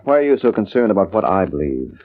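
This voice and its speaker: An actor playing a wry, skeptical private detective in a 1950s radio drama, dry and unruffled. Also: male voice, deep voice, sing-song, fast, heavily acted. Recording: noisy, dull vintage 1950s radio recording.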